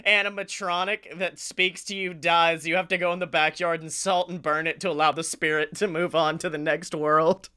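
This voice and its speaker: High, bright, boisterous man. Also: fairly even intonation, moderate pace, few pauses, smooth. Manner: boisterous, sweary. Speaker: comedy podcast host. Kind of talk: loud comedic banter with mock announcer hype.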